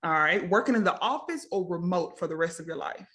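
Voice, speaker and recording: A woman hosting a web interview show on a video call, bubbly and quick to laugh. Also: on the low side, animated, fairly bright tone. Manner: bubbly, laughs often, repeats "very nice". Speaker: host.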